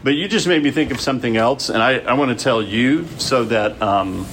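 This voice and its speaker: A man leading a church group discussion in a casual, halting way, with many restarts. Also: medium pitch, a bit bright, slight background noise.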